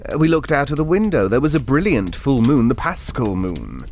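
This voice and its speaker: Bookish gent telling a ghost story, mid voice, wry n hesitant, earnest, faint noise behind. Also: male voice, medium pitch, sing-song, normal speed, a little mellow. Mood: reflective, uneasy. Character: earnest, bookish gentleman recounting an eerie tale. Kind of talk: ghost story narration in audio drama.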